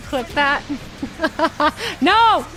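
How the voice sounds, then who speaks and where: A woman's voice: high-pitched, expressive, extremely slow, fairly bright tone, soft background music. A woman streamer chatting casually, crude and self-amused, laughing often at her own jokes. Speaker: streamer reacting to wrestling. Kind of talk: casual, profane stream chat.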